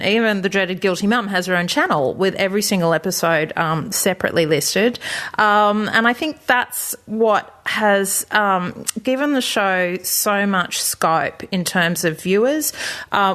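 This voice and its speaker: A woman's voice: mid-range voice, fairly bright tone. Chatty australian lady being interviewed, lots of ums, upbeat. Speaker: guest, consumer journalist. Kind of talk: casual interview chat.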